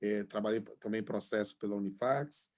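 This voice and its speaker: A man's voice: on the low side, brisk, a little mellow. A man in his forties with a Bahian accent, a law professor chatting informally to introduce himself to an online class over a video call, with many fillers. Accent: Bahian Portuguese. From Salvador, Bahia, Brazil. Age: 40s. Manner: chatty, filler-heavy, friendly. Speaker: law professor and labor judge.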